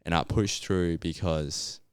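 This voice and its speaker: A man's voice: low voice, fairly even intonation, slow, clean tone, dry recording, studio quality. A young man from Colombia with a Colombian Spanish accent, a podcast guest telling his story in a reflective, casual way with lots of fillers. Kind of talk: casual interview chat.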